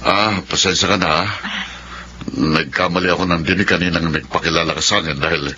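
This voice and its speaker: An actor playing a folksy, obliging village captain in a Filipino radio drama, attentive and reassuring, with a Filipino accent. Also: male voice, low-pitched, steady tone, brisk, pushed and bright. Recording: dull, slightly noisy radio drama recording.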